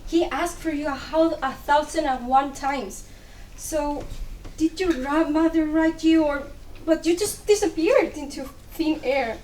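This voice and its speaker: Woman acting high-pitched, chiding Cuban confidante. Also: measured pace, darkish tone. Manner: chiding, mixes in Spanish endearments. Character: lively, blunt, reproachful Cuban woman confidante. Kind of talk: dramatic play reading. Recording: echoey room, distant mic, faint noise.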